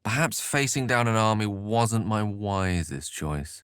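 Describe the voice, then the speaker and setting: A witty, dramatic man of magic in a fantasy video game. His pitch swings expressively as he turns florid, articulate phrases with wry self-assurance. The voice sits a little low and is captured dry, in theatrical character style. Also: lively intonation, unhurried, a bit bright, clear, resonant tone, no echo. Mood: earnest, measured. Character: eloquent, witty, self-assured human wizard, bookish and dramatic.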